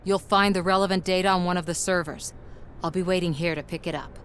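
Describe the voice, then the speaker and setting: A woman's voice: fairly low pitch, steady tone, fast, background noise, natural voice. An actress playing a determined, wry woman activist in a video game, with an earnest way of talking. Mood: earnest, urgent. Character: determined, wry female activist snooping on a corporation.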